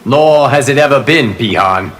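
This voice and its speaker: An actor playing a calm, wise god who keeps time, speaking in a measured, formal, grave manner in a video game. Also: male voice, medium pitch, over music, lightly acted. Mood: grave, stern.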